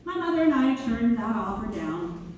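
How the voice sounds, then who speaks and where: A woman playing Mabel, a genteel pianist turned writer, reminiscing in measured theatrical narration. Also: fairly high pitch, very animated, darkish tone. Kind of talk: theatrical first-person narration, read-through. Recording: distant mic in very echoey hall, faint noise.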